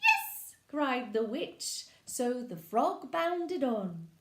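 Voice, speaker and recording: A woman reading a children's picture book aloud with animated, lively expression. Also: fairly high pitch, extremely slow. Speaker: storyteller. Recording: echoey room.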